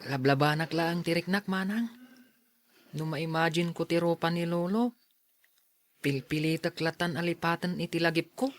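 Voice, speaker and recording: An actor playing a timid, earnest youth in a radio drama, speaking plaintively and deliberately. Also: medium pitch, fairly even intonation, lightly acted. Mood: anxious. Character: timid earnest youth Aljun, son and younger brother.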